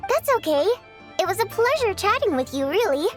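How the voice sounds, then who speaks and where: A woman voicing an inquisitive Fae theater performer in a video game, chatty and expressive. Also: very high voice, fairly quick, soft background music. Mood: polite, apologetic. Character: Miss Vodyanica, female Fae theater performer, socially concerned, inquisitive.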